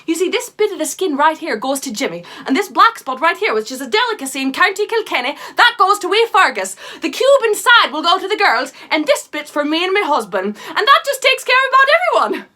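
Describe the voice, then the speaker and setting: A woman's voice: very high voice, very animated, fairly quick, hardly any pauses, bright, forceful tone, full character voice. A woman playing a cheerful, callous Irish village mother from famine times in a comic monologue, exclaiming and spinning folksy tales with an Irish accent. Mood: matter-of-fact.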